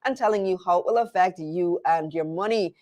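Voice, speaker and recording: A woman's voice: fairly low pitch, a little fast, darkish tone. Stuffy-voiced upbeat woman host. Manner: upbeat, chatty, addresses viewers directly. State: stuffy, recovering from illness. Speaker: host of a business news show. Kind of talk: live show hosting.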